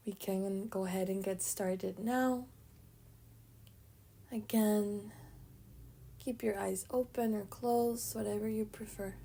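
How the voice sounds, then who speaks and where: A woman leading a guided meditation, speaking gently in short phrases with pauses between them and soft, breathy endings. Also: mid-range voice, fairly even intonation.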